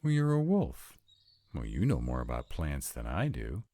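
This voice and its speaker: A man's voice: a bass voice, huge pitch swings, normal speed, mellow, no room sound, full character voice. A man playing a wry, sarcastic young vampire in a scripted roleplay audio drama. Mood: wry, hesitant.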